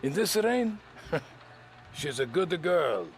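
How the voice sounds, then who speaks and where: An actor playing a friendly, chatty barkeep for the mob in a game drama, with a gravelly voice. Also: male voice, fairly high pitch, very animated, extremely slow, full character voice. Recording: noisy ambience behind voice.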